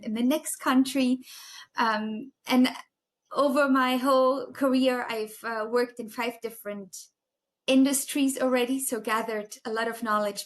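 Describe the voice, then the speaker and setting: A woman from Germany, a negotiation expert, answering interview questions politely and articulately. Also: fairly high pitch. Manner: articulate, polite, some restarts.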